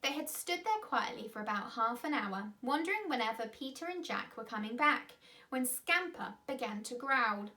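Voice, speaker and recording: A woman's voice: on the high side, animated, normal speed, sharp tone. A woman reading a children's story aloud expressively, with dramatic emphasis. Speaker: storyteller, school reader.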